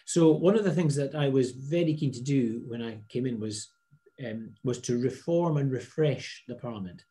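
A middle-aged man answering interview questions over a video call from an office, measured and often restarting his phrases. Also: medium pitch, mellow. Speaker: parliament presiding officer. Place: office with blinds and a plant.

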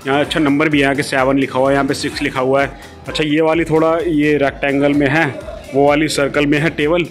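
Male vlogger, casual cafe walkthrough, slightly raised pitch, light music behind. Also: flat delivery, fast. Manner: chatty, explaining as he walks, filler-heavy. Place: dog cafe, indoor-outdoor seating.

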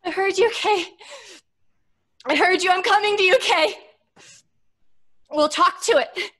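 A fragile, terrified woman in an old radio horror play. Her voice is very high and the delivery slow, halting and pleading. She repeats herself as she edges toward hysteria. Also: a bit bright. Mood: desperate, distraught. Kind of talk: old-time radio horror drama acting.

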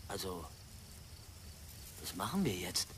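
A man's voice: low voice, big pitch swings, slow pace, fairly bright tone, a little hiss or hum, full character voice. An actor playing a talkative young male student drone pilot in a radio drama, hesitant and muttering as he reassures. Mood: distracted, muttering. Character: nervy, talkative bearded computer-science student, drone pilot.

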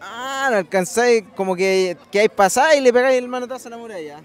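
A man's voice: very high-pitched, lively intonation, fast talker. A Chilean man in his twenties, a field reporter interviewing informally and chattily into a handheld microphone outdoors. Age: 20s. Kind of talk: casual on-location interviewing. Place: outdoors beside a swimming pool.

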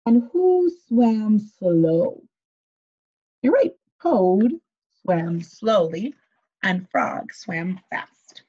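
A young woman, a teacher reading a children's story aloud in an animated way and asking her listeners questions. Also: mid-range voice, extremely slow, slightly rough. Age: young adult. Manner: animated, expressive. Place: small bedroom, indoors. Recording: slightly dull webcam audio.

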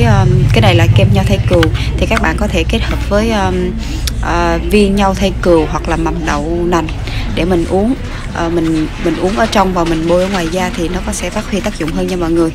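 Chatty woman in a pharmacy aisle. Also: on the low side. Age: 30s. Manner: chatty, many fillers and restarts. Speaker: shopping vlogger. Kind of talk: casual product walkthrough. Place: large pharmacy store, indoors. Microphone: phone camera held close.

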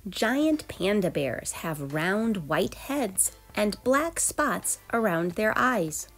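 Animated, unhurried woman reading to children. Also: mid-range voice, poor audio. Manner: clear, expressive, addressing young children. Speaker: storyteller, children's read-aloud host. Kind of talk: read-aloud of a children's nonfiction book. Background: background music.

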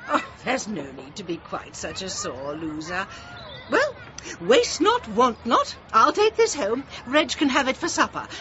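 An actress with an English accent playing a bossy, snobbish village wife in a radio play, officious and disdainful. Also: female voice, on the high side, sing-song, unhurried, noisy background. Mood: smug, disdainful. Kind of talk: radio drama acting. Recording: dull lo-fi radio drama with music.

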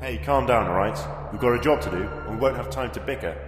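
A man's voice: mid-range voice, quick pace, roomy sound, big-room sound, loud music behind the voice, lightly acted. A man playing a brusque, commanding resistance fighter in an audio drama. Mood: tense. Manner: terse, commanding. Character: brusque male resistance fighter planting a bomb.

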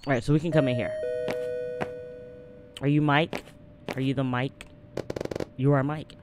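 A young adult gaming streamer with a partly lost voice, chatting casually and reading game text aloud into a close boom mic in a small home room. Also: fairly even intonation, measured pace, noisy background. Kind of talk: casual let's-play commentary. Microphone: boom mic on arm, close.

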